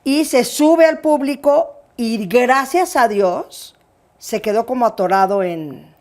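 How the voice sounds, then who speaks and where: A woman with a Mexican accent hosting an interview, lively and prompting her guest. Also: a little high, takes it slowly. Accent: Mexican Spanish. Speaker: interview host. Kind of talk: casual interview chat.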